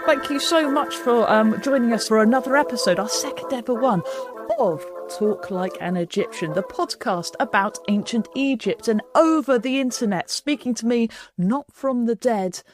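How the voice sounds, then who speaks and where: A woman's voice: a little high, moderate pace, over music. A woman hosting a podcast, asking questions in a playful, jokey way. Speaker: podcast host. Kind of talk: casual podcast chat.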